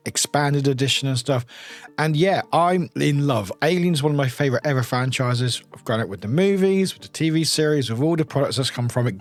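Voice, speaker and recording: A man giving a casual, enthusiastic, rambling unboxing commentary as a review host. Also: medium pitch.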